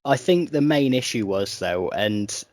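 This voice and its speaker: A man's voice: medium pitch, lively intonation, measured pace, a little mellow, slight background noise. A man co-hosting a casual music podcast, talking in a wry, joking way. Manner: wry, joking, mimics people in quotes. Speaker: podcast co-host. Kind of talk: casual chat about music.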